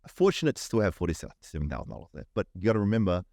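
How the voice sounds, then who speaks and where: A middle-aged man with a New Zealand accent talks in a very low voice, loose and rambling, tripping over repeated words and swearing freely. The sound is close and dry, with no room behind it. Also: sing-song, racing, a little mellow, dry recording. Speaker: podcast guest. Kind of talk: casual chat.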